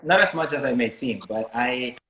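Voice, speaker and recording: A man from Miami talking casually and reflectively as an interviewed artist, thoughtful and halting as he searches for words. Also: medium pitch, lively intonation, pushed and bright. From Miami, United States, born in Ecuador. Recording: echoey room sound.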